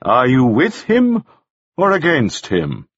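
A male narrator plays a stern, calculating jailer who asks questions slowly and deliberately. The voice is husky, on a dull, lo-fi recording. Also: mid-range voice, wildly swooping pitch, no echo, heavily acted. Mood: probing. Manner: slow, probing, demanding.

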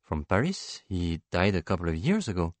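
A narrator, a man, plays a lovelorn banker from a novel. He speaks in a fairly low voice with polite, formal earnestness. The recording is very dry. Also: big pitch swings, moderate pace, no room sound. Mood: subdued.

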